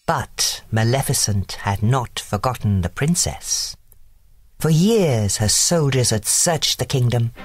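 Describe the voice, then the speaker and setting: A woman reads a bedtime story as its narrator. Her voice is low and highly expressive, taken at a slow, deliberate pace. Music plays underneath.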